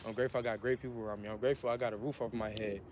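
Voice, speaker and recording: A man's voice: fairly low pitch, fairly even intonation, rapid-fire, noisy background. A man in his twenties giving an earnest, motivational monologue for a vlog, repeating himself as he talks through his thoughts. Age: 20s. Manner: earnest, repetitive, self-motivating. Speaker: vlogger.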